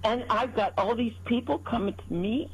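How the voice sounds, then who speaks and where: A woman's voice: fairly high pitch, moderate pace, husky. A woman calling into a talk radio show, speaking urgently and insistently. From South Carolina. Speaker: caller. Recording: muffled phone call.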